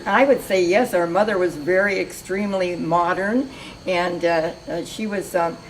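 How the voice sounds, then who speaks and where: A woman's voice: lowish voice, normal speed, a little hiss or hum, low-quality recording. Older woman, meandering reminiscing chat. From Seattle, USA. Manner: chatty, meandering, many restarts. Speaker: interviewee. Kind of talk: reminiscing interview talk.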